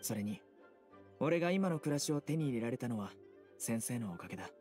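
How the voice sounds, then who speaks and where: A man voicing a stern, serious young desert-born enforcer in an anime game, speaking formally and bluntly in a deadpan. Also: mid-range voice, brisk, close to an everyday voice. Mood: solemn. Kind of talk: Japanese anime game voice acting. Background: music.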